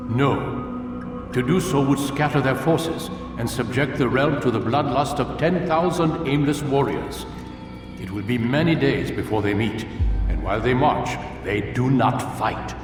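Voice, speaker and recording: Actor as solemn master of assassins, cavernous echo. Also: male voice, medium pitch, distant mic, natural voice. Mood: grave, commanding. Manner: solemn, deliberate, formal speech. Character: stern, measured old male master of assassins. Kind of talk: dramatic video game acting. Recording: echoey hall with long reverb, music behind.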